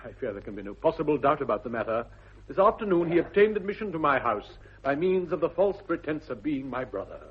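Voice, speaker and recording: An actor playing a proper, pompous English gentleman guardian with an upper-class accent, formal and indignant, in a 1960s radio comedy. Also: on the high side, big pitch swings, fast talker, a little hiss or hum. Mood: stern, indignant. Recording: dull vintage radio recording.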